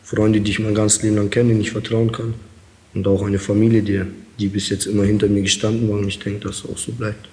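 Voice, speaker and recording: Young man, low flat delivery, hesitant interviewee with frequent fillers. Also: quick pace. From Kazakhstan. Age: young adult. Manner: hesitant, many fillers, calm and plain. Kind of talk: interview answers.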